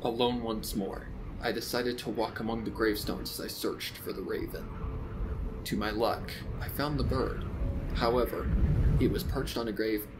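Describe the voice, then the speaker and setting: A man's voice: fairly low pitch, steady tone, normal speed, background noise, lightly acted. A man playing a grieving, bitter widowed pony, narrating his story in a brooding, theatrical way. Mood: brooding, uneasy. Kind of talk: dramatic first-person story narration. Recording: music behind the voice. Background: prominent music.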